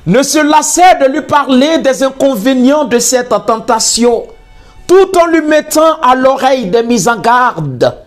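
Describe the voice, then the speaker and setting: A poet reciting spoken-word verse in a solemn, declamatory way with theatrical emphasis. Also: mid-range voice. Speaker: slam poet. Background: music under the voice.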